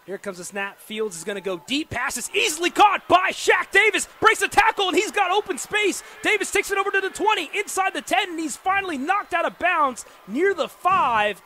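An American man calling live football play-by-play on the radio with excitable, dramatic delivery. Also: extremely high pitch, fairly quick, few pauses. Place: football stadium during a live game. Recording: noisy live stadium broadcast.

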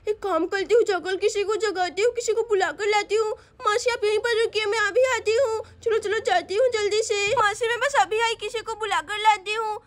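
A woman's voice: extremely high pitch, fairly even intonation, moderate pace, few pauses. A woman voicing an anxious little girl in a cartoon story, urgently calling for help. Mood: frantic.

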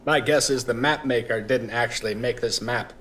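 An actor playing a drunk, disillusioned veteran newspaper reporter with world-weary noir patter in an audio drama. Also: male voice, medium pitch, normal speed, fairly bright tone, lightly acted. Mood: gruff. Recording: faint music underneath.

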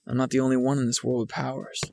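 A man's voice: mid-range voice, level tone, racing, creaky, darkish tone, reverberant, a little hiss or hum, close to an everyday voice. A man playing a curt, guarded young man in a fan dub, blunt and dry. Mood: guarded, brooding.

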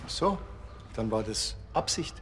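An actor playing a blunt, cocky village policeman in a TV crime comedy, deadpan, with a Bavarian accent. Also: lowish voice, measured pace, a little hiss or hum. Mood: investigative, deadpan. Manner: deadpan, colloquial.

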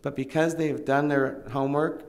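A man's voice: medium pitch, little pitch movement, moderate pace. A middle-aged man from Oregon, a small farmer, giving earnest, rambling public comment at a county meeting through a table microphone. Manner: earnest, rambling, plain-spoken pleading. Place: county commission hearing room, indoors. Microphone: table microphone, seated.

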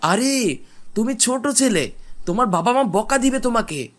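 A man's voice: very high voice, lively intonation, normal speed. A man playing a courteous, questioning well-off traveling husband in a cartoon. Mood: doubtful. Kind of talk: cartoon voice acting.

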